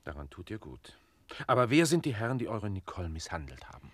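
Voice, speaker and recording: An actor playing a demanding, moralizing gentleman master in a German radio play, imperious and pompous. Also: lowish voice, sing-song, quick pace, breathy edge, no echo, clean studio sound. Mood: curious.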